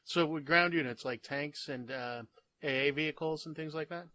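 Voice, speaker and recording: A man's voice: fairly high pitch, moderate pace, a crowd in the background. A man hosting a gaming channel, opening with an upbeat greeting and interviewing a guest with eager reactions.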